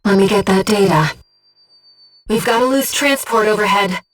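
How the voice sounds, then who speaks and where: A gentle disembodied female being, played by a woman serves as a devoted mission guide in a video game. Her medium-pitched voice is composed and earnest, with precise phrasing, and sits a little away from the mic. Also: normal speed, far from the mic, faint music behind, close to an everyday voice. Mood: calm, focused.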